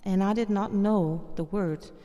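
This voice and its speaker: A woman's voice: medium pitch, measured pace, dark tone, in a large hall. A woman from Denmark with a Danish accent, chatting casually about her experiences, with frequent fillers and light laughs. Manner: chatty, laughs often, many fillers and restarts. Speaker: guest performer telling her story. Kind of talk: casual storytelling.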